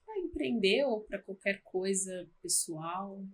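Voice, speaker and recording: A woman's voice: mid-range voice, lively intonation, slow, husky. Brazilian woman host, encouraging. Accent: Brazilian Portuguese. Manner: brief, encouraging replies, light laughs. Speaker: podcast host. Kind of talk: interviewing.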